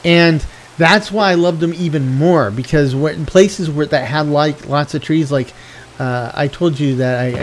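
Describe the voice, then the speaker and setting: A middle-aged American man chatting casually and at length, wandering off track and correcting himself as he talks. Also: a little high. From USA. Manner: meandering, self-correcting, reflective. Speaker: video game streamer. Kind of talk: rambling casual chat.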